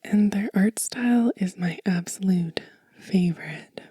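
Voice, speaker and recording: A woman hosting a soft-spoken ASMR show-and-tell, whispering gently and breathily at an unhurried pace. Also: medium pitch, slightly rough, dry recording. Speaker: ASMR channel host.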